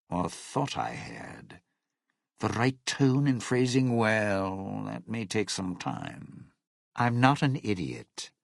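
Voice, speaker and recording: Low, gravelly, sneering schemer. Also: male voice, no room sound, clean studio sound, full character voice. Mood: guarded, sly. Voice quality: husky, gravelly. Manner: sardonic, theatrical, sneering. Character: bitter, scheming male lounge singer and thief, smug and vengeful. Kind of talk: video game character dialogue.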